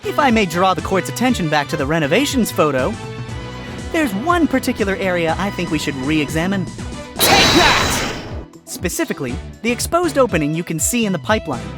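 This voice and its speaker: A woman's voice: medium pitch, big pitch swings, a little fast, music in the background, close to an everyday voice. A woman voicing a sly, sarcastic male fox defense lawyer arguing in court.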